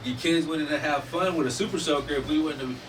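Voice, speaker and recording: A man's voice: on the high side, fast. Emphatic man, distant mic, noisy room. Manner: animated, emphatic, swears freely. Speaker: podcast host. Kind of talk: casual discussion. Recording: distant mic with noticeable background noise.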